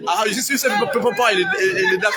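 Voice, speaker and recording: A man's voice: high voice, racing. A man interviewing people at a busy conference, eagerly repeating their answers. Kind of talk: casual street interview. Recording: loud crowd chatter behind.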